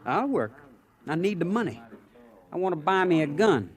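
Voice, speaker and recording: An actor playing a cocky, touchy young gunslinger, curt and boastful, in an old-time radio Western. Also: male voice, on the high side, big pitch swings, normal speed. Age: young adult.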